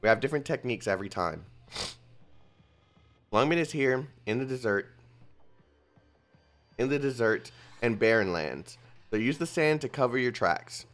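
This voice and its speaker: A calm male squad leader lays out the infiltration plan, read by a man in his own plain voice. The delivery is steady and instructive, with a lively rise and fall. Faint music plays under it. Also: medium pitch, moderate pace, long pauses, close to an everyday voice.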